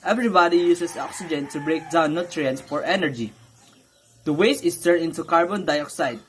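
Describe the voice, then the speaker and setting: A man's voice: fairly high pitch, normal speed, a bit bright, natural voice. A man playing a self-important respiratory system that explains its case in an amateur educational reader's theater play. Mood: insistent. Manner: explanatory, insistent. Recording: home recording, noise, animal sounds.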